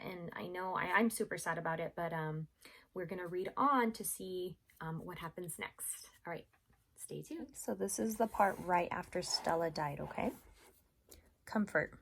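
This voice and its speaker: A woman's voice: fairly low pitch, gravelly, a bit bright. A woman doing an informal read-aloud of a children's story.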